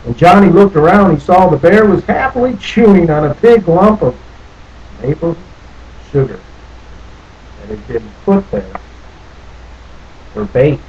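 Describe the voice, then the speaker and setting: A man's voice: fairly high pitch, lively intonation, measured pace, long pauses, a little mellow, lo-fi sound. A man reading a children's story aloud expressively, theatrically lingering over phrases. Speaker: storybook reader. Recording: noticeable background noise.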